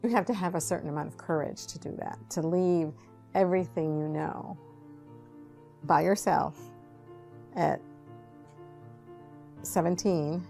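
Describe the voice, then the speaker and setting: A woman's voice: on the low side, steady tone, a little slow, vocal fry, pauses often. A woman speaking reflectively in a documentary interview about someone she sponsored. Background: soft music.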